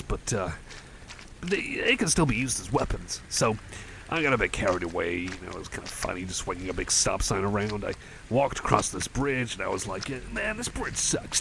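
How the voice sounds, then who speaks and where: Shy cyborg pal played by a man for ASMR roleplay: low, gravelly, stammering and self-deprecating, over noticeable background noise. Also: fairly bright tone. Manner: hesitant, stammering, self-deprecating, eager to please. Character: shy, rambling, friendly male cyborg companion. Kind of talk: ASMR roleplay, casual storytelling.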